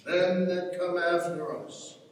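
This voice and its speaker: Guy acting a proud gruff farmer dad, talks slow n weighty, big echoey hall far from mic. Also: sits high, steady tone, a little hiss or hum. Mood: proud, solemn. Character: stern, proud patriarch farmer, gruff and land-hungry. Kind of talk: dramatic stage reading of an Irish rural play. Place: large reverberant hall, recorded from afar. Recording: echoey hall, distant microphone.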